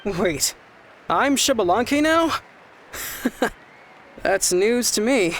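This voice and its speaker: A man voicing an earnest, breezy, good-natured young tournament fighter in an animated video game. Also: high voice, drawn-out words, slight background noise, heavily acted. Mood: amused. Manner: earnest, breezy, sighs and chuckles. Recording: loud music behind the voice.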